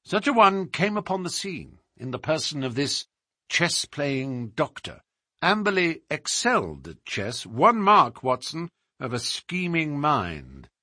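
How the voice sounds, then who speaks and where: Man acting a brilliant gentleman detective, theatrical, precise, bright forceful voice, swooping pitch, audiobook. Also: wildly swooping pitch, a little slow, no echo, studio quality, exaggerated voice. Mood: expository, confident. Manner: precise, theatrical, formal explaining. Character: brilliant, self-assured gentleman detective, lecturing.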